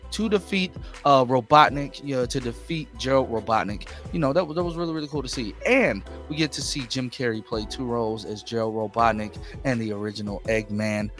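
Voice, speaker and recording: An enthusiastic man gives an off-the-cuff review with music playing behind him. His mid-pitched voice leans on key words and circles back to repeat them. The delivery is informal and emphatic, like a host talking straight to his audience. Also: a bit bright. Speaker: movie reviewer and channel host. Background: background music.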